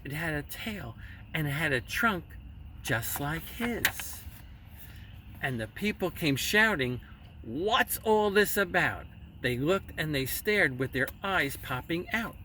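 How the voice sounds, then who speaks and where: A man narrates a children's story in a slow, theatrical voice that swings widely in pitch. His phrasing is emphatic and deliberate, and the recording is a little distant with faint noise behind it. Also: bright, forceful tone. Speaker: storyteller reading a children's book aloud.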